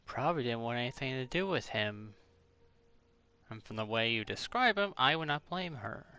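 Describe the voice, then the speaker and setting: A man's voice: medium pitch, lively intonation, normal speed, pushed and bright, clean tone. A man who streams games, giving casual reactions to a game's story as he plays. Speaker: game streamer. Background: game music.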